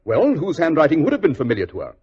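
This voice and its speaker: A commanding detective, swooping intonation. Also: male voice, fairly high pitch, huge pitch swings, quick pace, full character voice. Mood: reflective. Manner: precise, authoritative, theatrical. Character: shrewd, stern gentleman detective, coolly commanding. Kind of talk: 1940s radio acting. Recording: dull, husky old radio recording.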